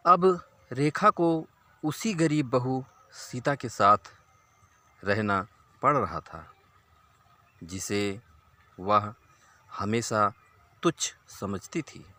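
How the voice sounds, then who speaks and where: A man narrating a scripted moral story to listeners as a storyteller, in a deliberate, halting, phrase-by-phrase delivery. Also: medium pitch, lively intonation, pushed and bright.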